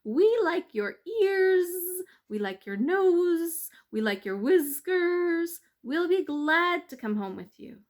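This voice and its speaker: A woman's voice: extremely high pitch, very animated, slow pace, hardly any pauses, pitched above the performer's own voice, full character voice. A woman voicing a swarm of stubborn, fawning storybook bees with a buzzy, emphatic, chanting delivery. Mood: agreeable. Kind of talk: children's storybook character voice.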